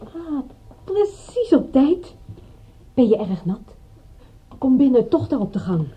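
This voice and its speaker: An actress playing a detective's chatty partner in a radio play, breezy, fussing and playfully scolding. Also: female voice, high voice, big pitch swings, takes it slowly, mellow, muffled recording, slight background noise, full character voice. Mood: teasing, fussing. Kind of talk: Dutch radio drama acting.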